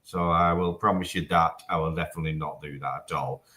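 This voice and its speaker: A man with a northwest English accent from Manchester, a little croaky, talking casually as an interview guest with wry, hesitant phrasing. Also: low-pitched, fairly even intonation, brisk, pushed and bright. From Manchester, northwest England. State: slightly croaky after flu. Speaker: interview guest, telecoms chief executive.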